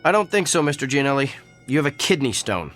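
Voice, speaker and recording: A man's voice: medium pitch, big pitch swings, fast talker, a bit bright, faint music behind. An actor in a radio drama playing an earnest male doctor with an uncanny gift for diagnosis. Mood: convinced, puzzled.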